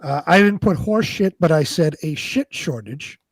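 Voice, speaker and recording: A man's voice: on the high side, animated, moderate pace, no room sound. A man co-hosting a live show in casual, conversational chat. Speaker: co-host of a live online show.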